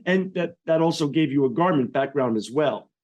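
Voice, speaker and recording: A man's voice: fairly high pitch, animated, moderate pace, darkish tone, dull audio. A man hosting an interview podcast remotely, upbeat and effusive as he welcomes and questions his guest. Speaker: podcast host.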